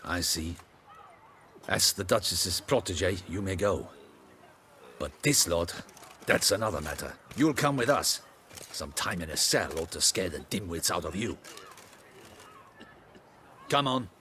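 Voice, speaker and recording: A man's voice: low-pitched, expressive, unhurried, long pauses, bright, forceful tone, slight background noise, full character voice. A man voicing a stern, officious city guard in a video game, curt and authoritative. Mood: commanding. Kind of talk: video game cutscene acting.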